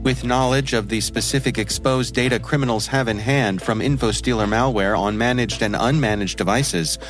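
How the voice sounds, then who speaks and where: A male announcer reads scripted advertising copy for a sponsor. His voice sits at a medium pitch, and music plays clearly behind him throughout. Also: moderate pace. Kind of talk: scripted ad read.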